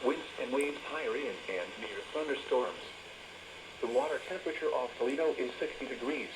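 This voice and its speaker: An automated male voice with an American accent reads a scripted weather bulletin over the radio in a flat, measured, synthetic way. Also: mid-range voice. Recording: noisy weather radio broadcast, strong static.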